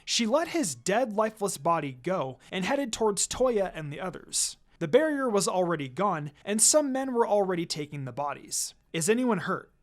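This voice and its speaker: An androgynous voice narrates a piece of fan fiction. The delivery is very animated, with pitch that moves widely as the scenes are read out. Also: moderate pace, hardly any pauses. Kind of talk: expressive scripted story narration with character dialogue.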